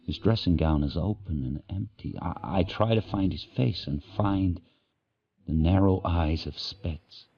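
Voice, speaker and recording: Guy playing a grim sled dog telling his story, radio play style, really deep and slow. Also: slightly rough, highs cut off, heavily acted. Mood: hushed, bleak. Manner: spare, deliberate short sentences. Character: sled dog narrating his harsh life, grim and watchful. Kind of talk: first-person dramatic narration in a radio play. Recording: faint background noise.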